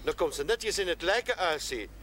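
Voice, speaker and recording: A folksy country policeman in a seventies TV drama. He is high-pitched, self-assured and officious, with faint noise behind him. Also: lively intonation, moderate pace, bright, forceful tone. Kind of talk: 1970s television drama acting. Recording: faint noise on old TV audio.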